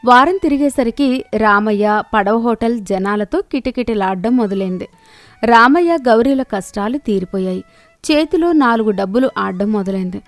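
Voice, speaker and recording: A woman narrating a children's moral story in a steady, clear storytelling manner. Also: fairly high pitch, dry recording.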